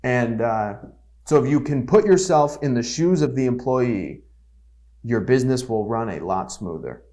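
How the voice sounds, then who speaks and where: A man's voice: medium pitch, animated, normal speed, darkish tone. A man in his twenties, a guest giving blunt, candid business advice in a casual podcast chat. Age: 20s. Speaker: podcast guest, agency CEO.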